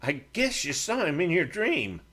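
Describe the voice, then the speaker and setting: Man doing a higher-voiced fussy frontier partner. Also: huge pitch swings, measured pace, a bit bright, heavily acted. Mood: defensive.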